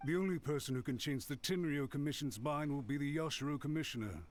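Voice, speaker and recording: A man's voice: fairly high pitch, fast talker, lightly acted. A man playing an anxious, contrite official in an anime game, speaking formally and deferentially, as if pleading. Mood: pleading, earnest. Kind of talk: scripted anime game voice acting.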